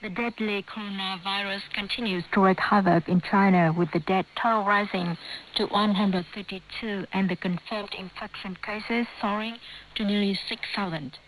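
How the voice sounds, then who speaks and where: A woman's voice: mid-range voice, fairly even intonation, moderate pace, slight background noise, poor audio. A woman reads scripted news as a radio presenter over a shortwave broadcast.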